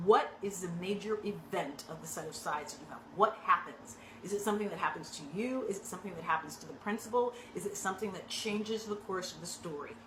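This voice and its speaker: A woman acting coach giving direct, conversational tips to actors, often checking in with a quick "right?". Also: mid-range voice, slight background noise. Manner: conversational, frequent rhetorical "right?" tags; animated and emphatic. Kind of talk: direct teaching talk to actors. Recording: echoey room with faint ambience.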